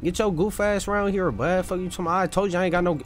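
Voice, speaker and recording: A man's voice: high voice, steady tone, very fast, slight background noise. A young man improvising street-gang roleplay in a video game, brash and slangy, swearing and repeating himself urgently. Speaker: streamer playing a gangster roleplay game.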